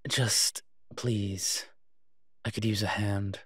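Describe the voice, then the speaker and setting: A man acts out a male incubus demon professor for an intimate audio roleplay. The voice is low and husky, recorded very dry and close. He is proud and teasing, speaking slowly with hesitant pauses and a flirtatious edge. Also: steady tone, fairly bright tone, no room sound, exaggerated voice. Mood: grudging, pleading.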